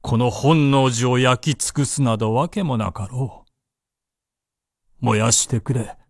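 An actor in a Japanese radio drama playing an imperious, fearless samurai warlord with a commanding, theatrical delivery. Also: male voice, mid-range voice, a little slow, no room sound, clean studio sound. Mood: defiant, grimly amused.

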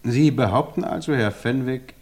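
An actor playing a calm, pipe-smoking police inspector in a 1950s radio play, dry and unhurried. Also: male voice, mid-range voice, natural voice. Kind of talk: 1950s radio drama acting. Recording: old mono radio play recording.

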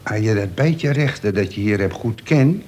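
A man's voice: fairly low pitch, lively intonation, normal speed, mellow, natural voice. A man acting a cynical, coarse-talking veteran convict in a Dutch radio play. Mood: knowing, instructive. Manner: coarse slang, reels off regulations by rote. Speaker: actor. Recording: faintly noisy old radio play recording.

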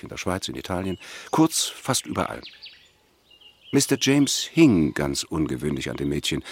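A scoundrel manservant for a radio play, servile on the surface and cold underneath. His low voice has a rough, husky grain. He speaks formally and deferentially, with a sly swing in pitch. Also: exaggerated voice. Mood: servile, smug. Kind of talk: German radio play acting.

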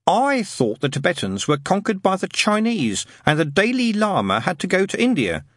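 Pedantic storybook schoolboy, acted by a man: raised pitch, husky edge, doggedly reasonable. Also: wildly swooping pitch, brisk, a bit bright, no echo, clean studio sound, heavily acted. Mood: unsure. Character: earnest, literal-minded, bookish boy.

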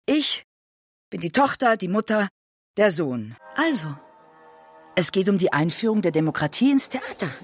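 A woman playing a performer who explains in plain, deliberate narration within a radio drama. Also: medium pitch, very animated, close to an everyday voice. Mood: pondering. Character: matter-of-fact performer explaining myth and own roles. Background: faint music.

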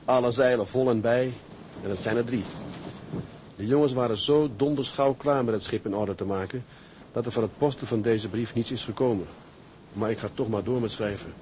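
Radio drama captain, played by a man: resolute, burdened seaman, mid-pitched voice, slight noise on the recording. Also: lightly acted. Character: resolute, guilt-burdened sea captain of a tugboat crew. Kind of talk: radio drama acting with letter-reading monologues.

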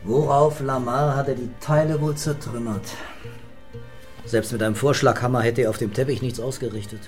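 A man acts a composed, observant police commissioner in a radio crime drama. His middle-pitched voice is measured and authoritative, with faint music underneath. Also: steady tone, lightly acted. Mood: sober, matter-of-fact.

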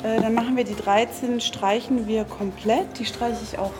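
A woman's voice: fairly high pitch, fairly even intonation, moderate pace. A woman working as a receptionist, talking informally with a guest. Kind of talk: informal service conversation. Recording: clear music behind the voice.

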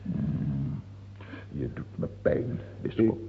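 A man acting in a radio play as an old, ailing king, fearful and self-pitying, with a halting, muttering, brooding delivery. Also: close to an everyday voice. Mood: fearful, confiding. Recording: muffled phone-like old radio recording, hiss and hum.

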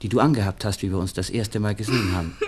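An actor playing a charming but evasive young Viennese lover in a radio play, gentle and courteous, with a Viennese accent. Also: male voice, fairly low pitch, fast talker, breathy edge. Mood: tender, evasive. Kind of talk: 1960s German radio play acting. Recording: 1960s radio studio recording.

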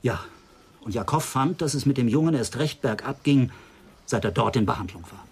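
Ein Schauspieler spielt in einem deutschen Krimihörspiel einen besorgten, mitteilsamen Klinikarzt, der ernst und erklärend berichtet. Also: male voice, medium pitch, animated, moderate pace, close to an everyday voice. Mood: concerned. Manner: explanatory, earnest. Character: concerned, talkative male hospital doctor reporting a case. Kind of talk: German radio crime drama acting.

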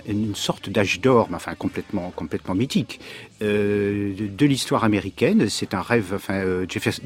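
A man speaking as a literary scholar in a radio discussion, halting and repeating words as he searches for phrasing. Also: on the low side.

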